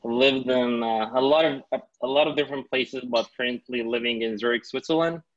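Estonian-born guy intro on zoom, halting, muffled audio. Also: medium pitch. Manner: hesitant, repeats words. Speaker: participant. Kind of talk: self-introduction. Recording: dull lo-fi video call audio.

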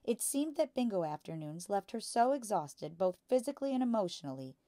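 A woman's voice: medium pitch, very animated, fairly quick, warm tone, no echo, studio quality. A woman reading a children's book aloud as a storytime narrator. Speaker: storytime reader.

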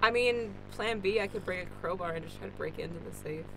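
An actress plays a nervous young heroine of a radio play. She speaks at a medium pitch, halting and natural, stumbling over her words. There is faint noise behind her. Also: lightly acted, rough recording. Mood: sheepish. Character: anxious, hesitant young woman stranded out of time. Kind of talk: improvised radio play acting.

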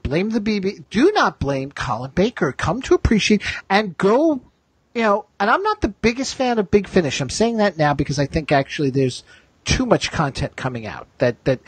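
A man's voice: high voice, sing-song, breathy edge, no room sound. A man hosting a casual fan discussion, speaking emphatically and opinionatedly, often doubling back on his phrases. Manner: emphatic, halting with repeated phrases. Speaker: podcast host.

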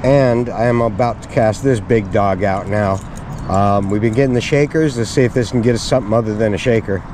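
A middle-aged man with a fairly low voice talks casually to his camera on an open pier. He sounds low-key, thinking aloud as he fishes, with clear outdoor noise around him. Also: fairly quick. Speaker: angler narrating own fishing outing. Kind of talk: casual vlog commentary.

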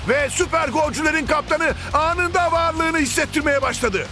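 A man playing an excitable football match commentator in a cartoon, with a gravelly voice. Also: extremely high pitch, moderate pace, faint music behind. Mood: excited. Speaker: sports commentator.

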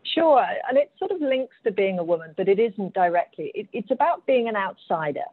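A woman's voice: mid-range voice, very animated, brisk, husky, no echo. A woman with an English accent, a mining executive talking earnestly on a panel and often repeating words as she thinks. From United Kingdom. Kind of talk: panel discussion over video call. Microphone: video call. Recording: tinny, phone-like call audio.

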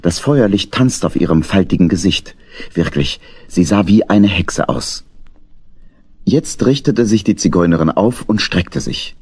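A man narrating a children's story from a script, with husky, dramatic delivery. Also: low-pitched, animated, a little slow, a little mellow, no room sound.

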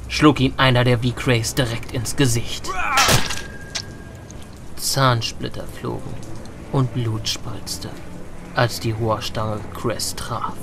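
A man's voice: mid-range voice, background noise. A man giving dramatic, scripted narration for an audio drama. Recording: loud music under the voice.

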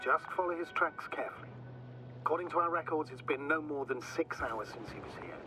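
A man playing a calm, probing mission commander in a science fiction video game, speaking formally and steadily. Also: on the high side, fairly quick, lightly acted, rough recording. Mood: calm, reassuring. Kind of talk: naturalistic game voice acting. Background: game music.

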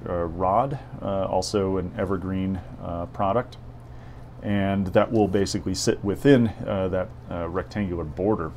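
A man hosting a hobby workbench video, narrating in a relaxed, thinking-aloud way with frequent "uh" fillers. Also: fairly low pitch, slight background noise. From United States. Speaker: model railroad hobby host. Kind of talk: relaxed tutorial narration over workbench footage. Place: home workbench.

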